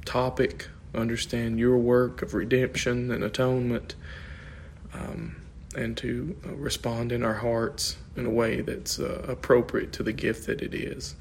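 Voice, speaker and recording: A man's voice: medium pitch, flat delivery, measured pace, a little hiss or hum. A man, losing his voice, teaching an informal Bible class in a conversational, thinking-aloud way and asking the class questions.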